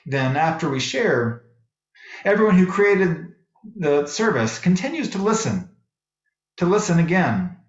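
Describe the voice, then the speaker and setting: A man giving a sermon as a minister, speaking in measured, deliberate phrases with pauses. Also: fairly high pitch, roomy sound, muffled recording. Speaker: minister preaching to a congregation. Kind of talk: sermon with poetry readings. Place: echoey worship space.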